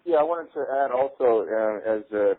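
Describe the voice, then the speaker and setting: A man giving an unscripted promotional statement as a boxing promoter on a conference call, measured, halting and matter-of-fact. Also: medium pitch, lively intonation, no room sound. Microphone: telephone conference call. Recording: dry, narrow telephone line.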